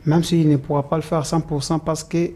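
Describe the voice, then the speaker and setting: A man's voice: fairly high pitch, flat delivery, brisk, warm tone. A man answering interview questions, hesitant and full of "euh" fillers and restarts. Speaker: political supporter interviewed as guest.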